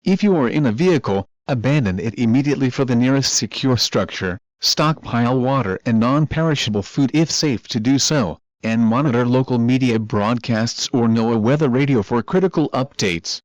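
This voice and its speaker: A man's voice: medium pitch, lively intonation, moderate pace, few pauses, no room sound. A man reading formal, instructive emergency safety directions from a script. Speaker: emergency alert announcer. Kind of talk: scripted emergency alert announcement. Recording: dull, dry lo-fi audio.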